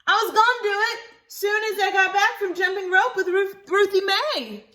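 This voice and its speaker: A woman's voice: extremely high pitch, moderate pace, bright, forceful tone, reverberant, pitched above the performer's own voice. A woman voicing an eager, blunt little country girl in a storybook, chirpy and emphatic with folksy grammar. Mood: sheepish.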